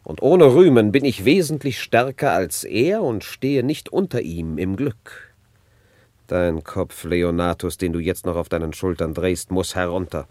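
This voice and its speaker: An actor playing an arrogant, boastful young villain prince in a classical radio play, pompous and blustering. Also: male voice, deep voice, expressive, a little slow, dark tone, no echo. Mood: smug, scheming.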